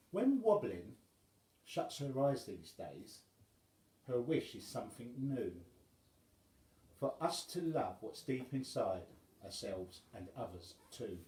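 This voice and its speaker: Guy telling a childrens story, takes his time, really animated, echoey room. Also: medium pitch. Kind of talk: expressive children's story reading.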